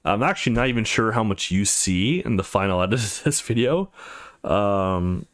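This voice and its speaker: A man's voice: fairly low pitch, lively intonation, moderate pace. A man giving informal let's play commentary as host, with hesitant asides. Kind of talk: let's play commentary, reading dialogue aloud.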